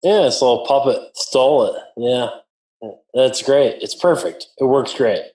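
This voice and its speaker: A man's voice: medium pitch, a little slow, dark tone. A man chatting casually as co-host of a music podcast. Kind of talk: casual chat. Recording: echoey room.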